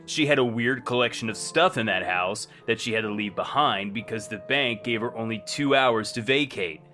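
An actor playing a smug, self-satisfied, heartless business bro in a comedy radio play. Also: male voice, fairly low pitch, moderate pace, pushed and bright, soft background music. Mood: smug boasting.

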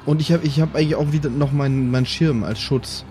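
A man's voice: fairly high pitch, fairly even intonation, fast talker, dark tone, soft background music. A man speaking casually and hesitantly as a player in a tabletop role-playing game, with a self-mocking manner. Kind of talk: casual improvised game chat.

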